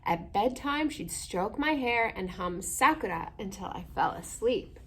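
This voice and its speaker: A woman hosting a children's story time, reading aloud and explaining gently in simple words, often putting questions to her young listeners. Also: mid-range voice, animated, a little slow, slightly rough.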